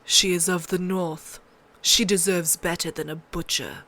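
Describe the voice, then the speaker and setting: A woman's voice: fairly low pitch, steady tone, measured pace, bright, forceful tone, low-quality recording. Woman as male lord, grave, weary, restrained, honourable, audiobook character. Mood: grim resolve.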